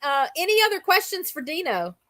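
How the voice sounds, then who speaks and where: A woman's voice: extremely high pitch, very animated, brisk, sharp tone, no room sound. A woman hosting a casual interview, chatty and enthusiastic as she puts questions to her guest. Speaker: interviewer, host.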